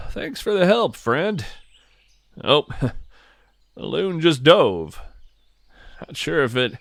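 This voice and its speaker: A man's voice: mid-range voice, gravelly, a bit bright, dry recording, heavily acted. A man playing a whimsical, earnest naturalist who muses with folksy wonder in a first-person field-journal audio drama. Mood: relieved, grateful. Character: whimsical, earnest male naturalist who hunts strange cryptids. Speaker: podcast host and narrator.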